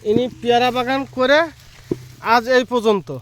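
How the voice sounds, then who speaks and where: A man's voice: very high voice, fairly even intonation, normal speed. A man presenting an informal vlog, addressing viewers directly and repeating himself. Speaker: vlogger, host. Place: outdoors in a guava orchard. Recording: outdoor recording with faint background noise.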